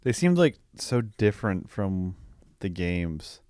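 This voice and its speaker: A man co-hosting a podcast, chatting casually and thinking aloud in loose, halting sentences. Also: low-pitched, sing-song, darkish tone, no room sound, clean studio sound. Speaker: podcast co-host. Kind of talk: casual chat, film review.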